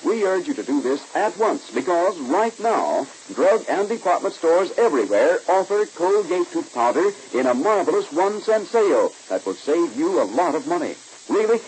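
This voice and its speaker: A man's voice: on the high side, a little mellow, low-quality recording. A man with an American accent announcing in polished, emphatic 1940s radio style, like a scripted salesman. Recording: hissy old radio recording.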